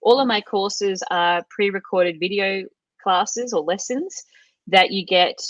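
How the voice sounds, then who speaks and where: A woman's voice: medium pitch, unhurried, no echo, muffled recording. A middle-aged Australian woman chatting casually as an interview guest, with lots of fillers and restarts. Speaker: guest, language course creator.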